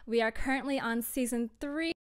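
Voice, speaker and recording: A woman's voice: on the high side, steady tone, moderate pace, smooth. A young adult woman giving an informal, chatty livestream tutorial from a room at home, with a self-deprecating, giggly manner. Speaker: audio drama creator and livestream host. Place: small home room, indoors.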